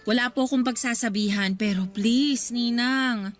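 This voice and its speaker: A woman plays a working Filipina goddaughter in a radio drama, her voice fairly high over background music. She is polite and weary, dodging and pleading respectfully. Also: measured pace, natural voice. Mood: uneasy, pleading.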